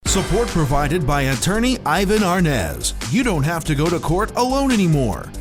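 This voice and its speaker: A man's voice: on the high side, wildly swooping pitch, moderate pace. A man announcer giving a scripted radio sponsorship read. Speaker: announcer reading a sponsor spot. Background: background music.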